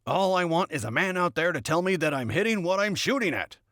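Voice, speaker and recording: A gruff military general, as a male narrator plays him, barks orders and threats in a storybook reading. He is short-tempered and curt, with a somewhat high voice that swings up and down sharply. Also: animated, brisk, fairly bright tone, no echo, clean studio sound. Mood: brusque, satisfied. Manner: barking, curt, threatening, swearing. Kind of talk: scripted audiobook character dialogue.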